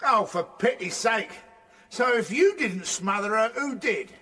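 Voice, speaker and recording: A man's voice: high voice, expressive, measured pace, sharp tone, husky, exaggerated voice. An actor playing a grumpy, rude police chief inspector in a radio drama, sarcastic and irritable as he barks orders. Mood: exasperated.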